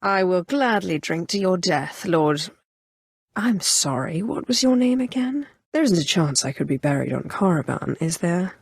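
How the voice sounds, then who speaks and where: Game villainess: haughty Sith sorceress, lowish voice, dry close recording, cool sarcasm and ruthless ambition. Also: female voice, expressive, moderate pace, vocal fry, husky, no room sound, full character voice. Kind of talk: scripted video game voice acting.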